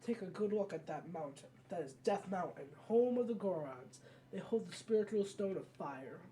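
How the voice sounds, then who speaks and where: A gaming video maker reading out a solemn female royal guardian from a fantasy game in a measured way. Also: mid-range voice, lively intonation, dark tone, far from the mic, a little hiss or hum, natural voice, rough recording. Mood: solemn, instructive.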